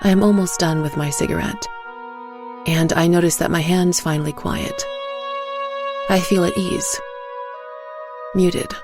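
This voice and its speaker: A woman narrating a scripted dark story in the first person, in a measured, deliberate way. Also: on the low side, steady tone, music in the background. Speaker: narrator of an audio fiction story.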